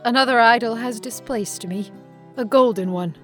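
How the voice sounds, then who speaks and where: An actress playing a sorrowful, resolute young woman with an earnest, deliberate delivery in an audio play. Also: fairly high pitch, over music, natural voice. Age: young adult.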